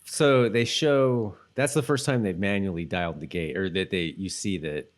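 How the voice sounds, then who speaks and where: A man's voice: low-pitched, normal speed. A man co-hosting a podcast, chatting casually in a loose, rambling way as he thinks aloud. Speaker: podcast co-host. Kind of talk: casual chat about a TV episode.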